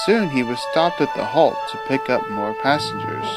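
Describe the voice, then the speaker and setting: A man narrating a children's railway story with a lively, sing-song lilt and a middle pitch. He keeps it gentle and storybook-like. Loud music plays throughout. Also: moderate pace.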